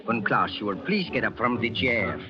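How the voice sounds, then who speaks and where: Muffled old broadcast with prominent music. a calm, menacing smuggler with a Spanish accent, issuing formal, stilted orders in a radio thriller. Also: male voice, on the low side, moderate pace. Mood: smoothly menacing. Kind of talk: 1940s radio drama acting.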